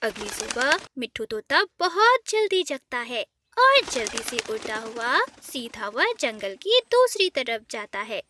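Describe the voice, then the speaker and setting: A woman narrating a cartoon story in a soft, even storytelling manner. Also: a little high, a little slow, hardly any pauses, background noise.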